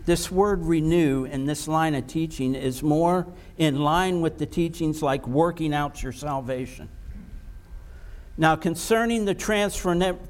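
A man preaching a sermon, slow and emphatic, repeating words for stress. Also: fairly high pitch.

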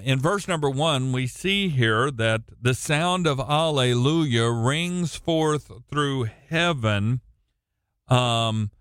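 A man preaching a sermon and reading scripture into a computer microphone. He has a booming voice and speaks deliberately, with frequent ums. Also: fairly bright tone, clean tone, no room sound, studio quality. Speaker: pastor.

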